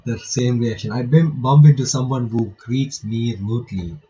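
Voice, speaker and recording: A man giving casual playthrough commentary and reading game text aloud, halting and often repeating his words. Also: mid-range voice, warm tone, highs cut off. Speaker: gaming reaction host.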